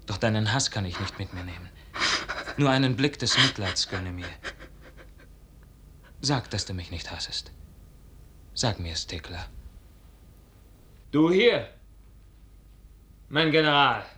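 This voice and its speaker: Radio verse drama: noble, tormented young soldier, earnest declamation, forceful bright tone. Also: male voice, mid-range voice, expressive, slow, long pauses. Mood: pleading, tender. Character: young lovelorn officer, noble, anguished, idealistic. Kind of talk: classical German verse radio drama acting.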